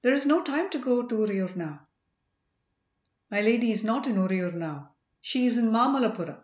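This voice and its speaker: A woman's voice: fairly high pitch, expressive, moderate pace, darkish tone, close to an everyday voice. A woman voicing a loyal, plain-spoken male servant who addresses his master respectfully and emphatically, as in a storybook. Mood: firm, respectful. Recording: roomy, slightly dull home recording.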